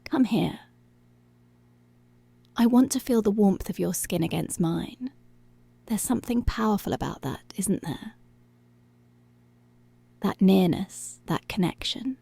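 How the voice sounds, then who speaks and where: A woman playing a commanding, seductive policewoman in an intimate ASMR roleplay, speaking slowly and deliberately in a breathy voice that often drops to a whisper. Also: medium pitch, lively intonation, dark tone, exaggerated voice. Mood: intimate, approving. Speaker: ASMR voice actor.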